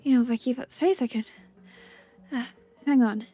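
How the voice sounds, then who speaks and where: Stubborn, worn-out young swordswoman acted by a woman, high voice, earnest video game heroine. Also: a little fast, mellow, faint music behind, natural voice. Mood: exhausted, insistent. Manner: earnest, hesitant, self-questioning. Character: driven, exhausted, stubborn young woman warrior.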